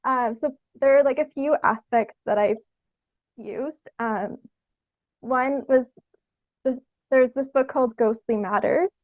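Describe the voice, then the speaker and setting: A woman's voice: high-pitched, a little slow, pauses often, dry recording, narrow, tinny audio. A young woman answering interview questions in a hesitant, thoughtful, conversational way, often using "like" as filler. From Canada. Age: young adult. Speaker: podcast guest, theatre artist.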